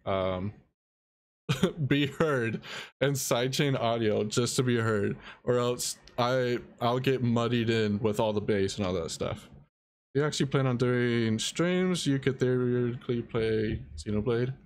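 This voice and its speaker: Young male streamer, quiet low-key delivery, drawn-out pace. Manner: soft-spoken, halting, reads chat aloud. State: dry mouth. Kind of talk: casual live-stream tutorial.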